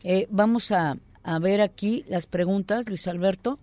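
A woman with a Mexican accent hosting a conversational interview and reading audience questions, halting and often breaking off her own sentences. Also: medium pitch, warm tone, no room sound. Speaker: interview host.

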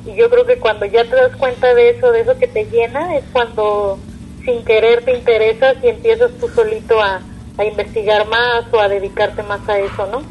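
A woman's voice: on the high side, little pitch movement, normal speed, lo-fi sound, a little hiss or hum. A woman from Mexico with a Mexican accent, speaking casually and conversationally as an interview guest. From Puerto Vallarta, Mexico.

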